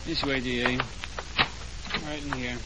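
An actor playing a brusque, impatient American police sergeant with gruff, slangy, bossy delivery in a 1940s radio detective drama. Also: male voice, medium pitch, little pitch movement, measured pace, natural voice. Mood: brisk, sardonic. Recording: noisy old radio transcription.

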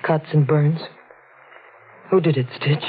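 A woman's voice: low-pitched, steady tone, slow pace, breathy edge, heavily acted. A woman playing an earnest, devoted young sister in a 1940s radio drama. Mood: gentle, concerned. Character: earnest, pleading young sister of a condemned man. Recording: tinny old radio recording.

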